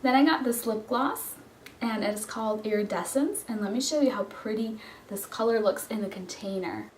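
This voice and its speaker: A woman with a cold and a hoarse voice, chatting casually through a makeup haul review with frequent ums and restarts. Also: fairly high pitch, normal speed. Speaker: beauty vlogger. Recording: roomy echo, from a distance, faint noise.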